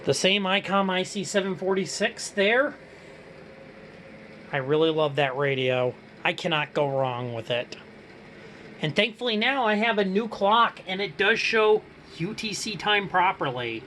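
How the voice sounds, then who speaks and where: A man's voice: high voice, expressive, unhurried, pushed and bright, slight background noise. A man with an American accent casually presenting a hobby vlog, walking viewers through equipment. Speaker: amateur radio hobbyist presenter.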